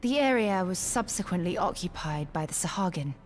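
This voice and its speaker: A woman's voice: lowish voice, animated, fairly quick, soft background music, natural voice. A woman playing a sober, scholarly advisor in a fantasy video game, speaking in precise, formal archaic phrases. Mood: sober, informative. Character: sober, matter-of-fact female scholarly advisor. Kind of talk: scripted video game voice acting.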